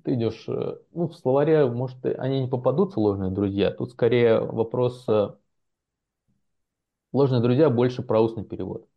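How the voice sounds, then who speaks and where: A man's voice: medium pitch, moderate pace, creaky, highs cut off. A man, a professional translator, talking casually as an interview guest, telling stories loosely with fillers and restarts. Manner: relaxed storytelling with many fillers and restarts.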